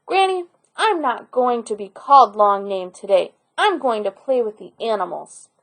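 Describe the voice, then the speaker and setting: A woman's voice: fairly high pitch, lively intonation, moderate pace. A woman voicing a cheerful, eager young boy in a storybook, with a sing-song way of talking. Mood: determined. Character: cheerful young island boy, singing his long name.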